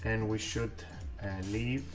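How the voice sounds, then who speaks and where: A man's voice: on the low side, fairly even intonation, takes it slowly. Man chatting on stream. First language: Greek. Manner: chatty, thinks aloud while working, chats with viewers. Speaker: live streamer drawing pixel art. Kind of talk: casual live-stream chat while drawing. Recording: distant mic with music playing. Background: background music and keyboard typing.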